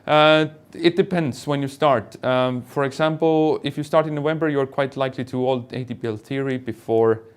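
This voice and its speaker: A man speaking as an airline pilot and instructor, answering questions in an online Q&A in a hesitant, measured way. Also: mid-range voice, fairly even intonation, smooth.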